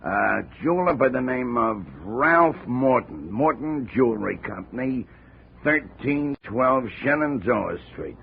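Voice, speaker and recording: An actor playing a chatty insurance contact in an old radio drama. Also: male voice, mid-range voice, expressive, measured pace, gravelly, slight background noise. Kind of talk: 1950 radio drama acting. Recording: tinny old radio broadcast.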